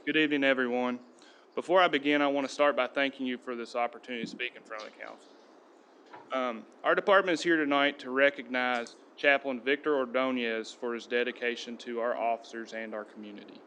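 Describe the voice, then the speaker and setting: A man, a police sergeant, giving a steady, earnest prepared speech at a podium in a council chamber. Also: mid-range voice, brisk, a little hiss or hum. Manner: steady, earnest reading of a tribute.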